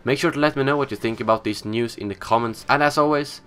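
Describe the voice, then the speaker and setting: A man's voice: mid-range voice, fast talker, fairly bright tone, smooth, faint music behind. A young man whose first language is Swedish, hosting a casual, opinionated gaming news show in a scripted style.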